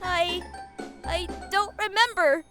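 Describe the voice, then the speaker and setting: An actor voicing a small childlike wax creature in an audio drama, earnest and timid, with hesitant, halting speech. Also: extremely high pitch, fairly even intonation, over music. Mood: upset, timid. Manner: hesitant, halting, searching for words.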